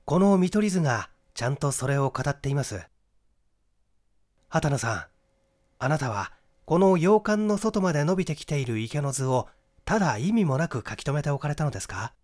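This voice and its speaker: A man's voice: fairly high pitch, big pitch swings, normal speed, a little mellow. A man voicing a clever, confident young amateur detective who explains his deductions methodically in a mystery audiobook. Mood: confident reasoning. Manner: explanatory, methodical, rhetorical questioning. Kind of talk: Japanese mystery audiobook acting.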